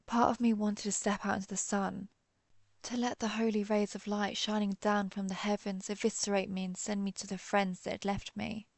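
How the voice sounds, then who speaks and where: Woman acting a gravelly, guilt-ridden vampire huntress. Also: medium pitch, level tone, no room sound, close to an everyday voice. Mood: anguished, ashamed. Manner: confessional, somber, measured storytelling. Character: centuries-old female vampire hunter turned vampire, guilt-ridden, resolute. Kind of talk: intimate dramatic monologue, audio roleplay.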